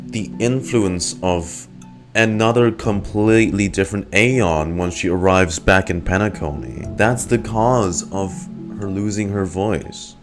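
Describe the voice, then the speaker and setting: Male game streamer, low voice, casual reaction commentary with slang and swearing, music behind him. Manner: exclamatory, slangy, thinking aloud. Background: video game music.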